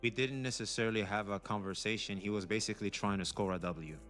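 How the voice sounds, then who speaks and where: A man's voice: lowish voice, steady tone, fast, fairly bright tone, faint music behind. A man giving opinionated commentary in an emphatic, scolding way.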